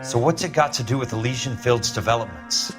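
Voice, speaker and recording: A man's voice: medium pitch, fairly quick, over music, natural voice. A man playing a tough, world-weary insurance investigator and ex-Marine in a 1940s detective game, talking bluntly and sardonically. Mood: sardonic. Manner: blunt, sardonic, hard-boiled. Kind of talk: 1940s detective game dialogue, naturalistic acting.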